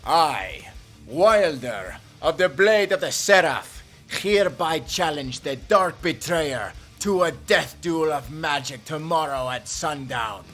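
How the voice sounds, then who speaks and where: A man playing a pompous, formal heroic magician in an audio roleplay, ceremonious and quick to take offence. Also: a little high, lively intonation, a bit bright, faint music behind, exaggerated voice. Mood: grand, declaiming.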